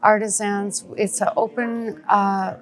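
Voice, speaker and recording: A woman giving earnest, somewhat halting casual answers as an interview guest. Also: medium pitch, little pitch movement, faint music behind. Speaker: gallery owner and artistic director, interview guest. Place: busy art gallery reception. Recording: busy crowd chatter behind.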